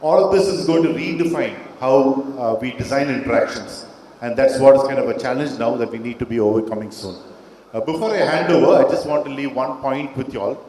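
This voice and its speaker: A man's voice: medium pitch, fairly quick, a crowd in the background. A man introducing himself as a panelist at a conference, explaining earnestly with frequent hesitations, on a handheld microphone. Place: large conference hall. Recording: very echoey hall with faint ambient noise.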